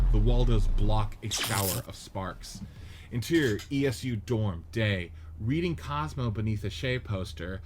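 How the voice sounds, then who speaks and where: Man, low voice, narrator, matter-of-fact stage directions, faint background hiss. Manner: measured, matter-of-fact reading. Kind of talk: reading stage directions in a script reading.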